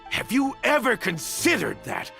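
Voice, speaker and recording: A bitter, accusing betrayer from a video game. His gravelly voice swings widely between menacing murmur and anguished outburst. Soft music sits behind the theatrical delivery. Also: high-pitched, wildly swooping pitch, normal speed, exaggerated voice. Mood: cruel taunting. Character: embittered male traitor, vengeful and accusing. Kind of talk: dramatic video game voice acting.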